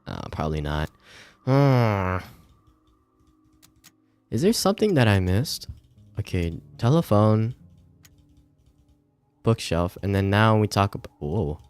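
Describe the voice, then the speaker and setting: Deep-voiced man streaming a game. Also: sing-song, slow, long pauses, a little mellow, smooth, soft background music. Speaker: streamer playing a visual novel. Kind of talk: casual gameplay commentary and reading game text aloud.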